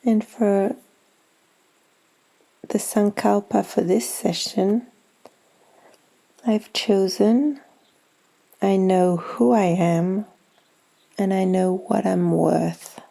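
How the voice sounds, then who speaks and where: Gravelly, mellow woman guiding meditation. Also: mid-range voice, steady tone, low-quality recording. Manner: soothing, gentle, unhurried instruction with long pauses. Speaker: yoga nidra guide. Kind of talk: guided meditation.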